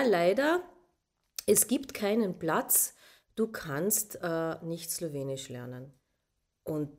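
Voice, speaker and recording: Woman, Austrian German accent, Slovene native, middle-aged, reflective, hesitant, somewhat low voice. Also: a little mellow. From southern Austria, Carinthia. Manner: reflective, hesitant, with questioning asides. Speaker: interviewee. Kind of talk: personal storytelling.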